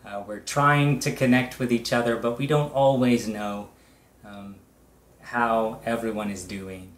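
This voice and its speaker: Slow-speaking male pastor in an echoey room. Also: lowish voice, steady tone. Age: middle-aged. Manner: deliberate, with short phrases and long pauses. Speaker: pastor leading worship. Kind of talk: pastoral prayer, measured and reverent. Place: indoors, small room with bare wall.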